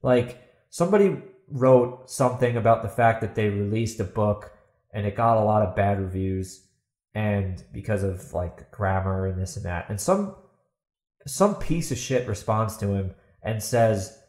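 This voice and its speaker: A man's voice: fairly low pitch, expressive, a little slow, darkish tone. Blunt, emphatic man in an echoey room. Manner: emphatic, blunt, swears, asks and answers own questions. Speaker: podcast host and fantasy author. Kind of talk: opinionated solo podcast monologue. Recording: roomy, echoey voice recording.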